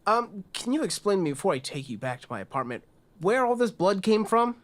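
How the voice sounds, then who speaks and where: A man's voice: high voice, very animated, a little fast, fairly bright tone, pitched above the performer's own voice. A man voicing a well-off woman in a tabletop game, dry and exasperated but accommodating. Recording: music behind the voice.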